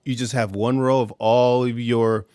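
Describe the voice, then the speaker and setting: A man's voice: mid-range voice, level tone, a little slow, warm tone, dry recording. A man chatting as a guest, enthusiastic and friendly with slangy, casual talk from a home studio. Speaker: guest podcaster. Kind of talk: casual chat. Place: home studio, blue-lit room.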